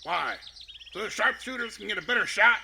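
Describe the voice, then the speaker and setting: A man acting in a radio drama as a scared, defiant mountain man with a gravelly, strained voice. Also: sits high, animated, brisk, pushed and bright, a little hiss or hum, exaggerated voice.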